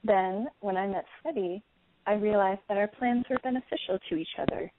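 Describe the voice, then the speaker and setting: A woman's voice: medium pitch, normal speed, natural voice. A woman playing a bitter, vengeful betrayed wife and mother in an audio play, accusing and indignant. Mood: cold accusing. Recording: tinny phone-like sound, clear background noise.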